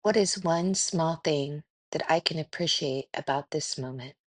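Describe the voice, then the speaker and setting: Woman, deep-toned, gentle meditation narration, soothing, spacious phrasing, dry close recording. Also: no echo. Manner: gentle, soothing, unhurried with pauses. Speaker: guided meditation host.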